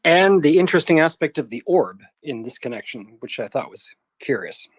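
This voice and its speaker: A man's voice: fairly high pitch, lively intonation, moderate pace, a little hiss or hum. A man speaking as a panel guest, offering speculative commentary in tentative phrasing.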